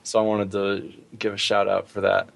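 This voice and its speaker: A man's voice: on the low side, monotone, normal speed, creaky. A man hosting a podcast, chatting casually about gaming in an informal way, thinking aloud as he goes. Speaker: podcast host.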